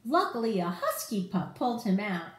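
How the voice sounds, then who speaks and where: A middle-aged woman reads a children's storybook aloud. Her delivery is very lively and speaks straight to the young listeners, with audible room echo around her voice. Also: medium pitch, normal speed. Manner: animated, addressing children directly. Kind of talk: expressive read-aloud of a picture book to children. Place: room in a home, indoors.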